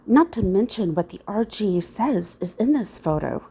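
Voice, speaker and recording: A woman's voice: medium pitch, big pitch swings, moderate pace, dark tone, husky. A woman playing an earnest, suspicious private investigator in an old-time radio style drama. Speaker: investigator in an amateur audio drama.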